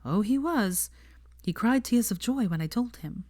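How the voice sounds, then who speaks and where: A woman's voice: fairly high pitch, lively intonation, measured pace, mellow, pitched above the performer's own voice. A woman reading the part of an earnest, prim young woman who speaks articulately. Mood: chatty.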